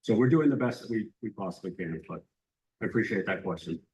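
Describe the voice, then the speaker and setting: A deputy fire chief answering questions at a public meeting, speaking in a plain, measured way. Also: male voice, on the low side, lively intonation, darkish tone, rough recording. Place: library meeting room.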